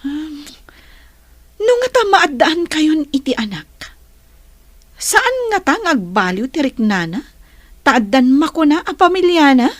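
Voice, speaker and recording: Radio drama sidekick: nosy, excitable girlfriend, Filipino accent, unhurried, very high-pitched, exclamatory. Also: no echo, full character voice. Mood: concerned, probing. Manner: theatrical, exclamatory. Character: excitable, nosy female best friend, dramatic and supportive. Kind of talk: Ilocano radio drama acting.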